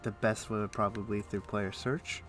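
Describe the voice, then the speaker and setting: A man chatting casually on a game live stream, thinking aloud in a halting, muttering way as he plays. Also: fairly low pitch, fairly even intonation, a bit bright. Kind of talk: casual live-stream chat while playing an online game. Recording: faint music behind the voice. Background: faint music.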